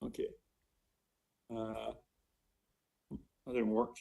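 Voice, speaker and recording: A man's voice: on the low side, completely flat delivery, vocal fry, mellow. An older man with a Scottish accent giving an informal academic lecture, often breaking off and repeating words as he goes. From Scotland. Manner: halting, with frequent self-interruptions and repeated words. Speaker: visiting professor giving a seminar. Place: remote online seminar. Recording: distant mic on online seminar.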